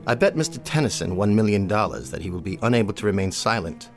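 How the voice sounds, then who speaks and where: An actor playing an austere older gentleman colonel in a radio drama, speaking formally and deliberately with cutting, cold contempt. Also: fairly low pitch, creaky, soft background music. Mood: grave, formal.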